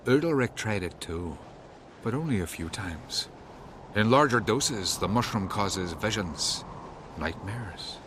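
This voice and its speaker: An actor playing a stern, brusque druid herbalist in a fantasy video game, curt and solemn. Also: male voice, on the low side, animated, slow. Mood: grave. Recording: clearly noisy ambience behind the voice.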